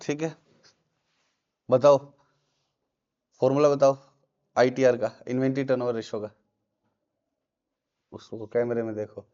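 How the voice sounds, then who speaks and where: A man's voice: medium pitch, fairly even intonation, unhurried, long pauses, a little mellow. A male commerce teacher, lecturing in a classroom and quizzing his students with repeated questions. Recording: dull lo-fi classroom recording.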